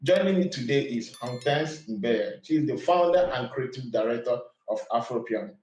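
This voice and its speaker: A man's voice: mid-range voice, lively intonation, moderate pace, darkish tone, reverberant, muffled recording. A man hosting a podcast, introducing his guest and asking questions formally and politely. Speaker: podcast host. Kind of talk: interview hosting.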